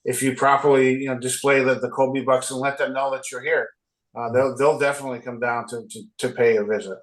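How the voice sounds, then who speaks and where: A man co-hosting a community interview, speaking hesitantly with many pauses and restarts. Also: mid-range voice, level tone, rough recording. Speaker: co-host.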